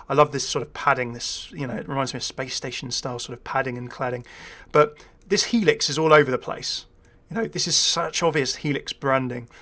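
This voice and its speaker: A man's voice: a little high, a bit bright. A man hosting a gaming video with casual, unscripted commentary. He is chatty and hesitant, thinking aloud and dropping wry asides.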